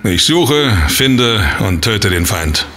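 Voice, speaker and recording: An actor playing a cool, businesslike mercenary demon hunter in an audio drama, blunt, dry and confident. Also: male voice, lowish voice, animated, slow, bright, forceful tone, slight background noise, full character voice.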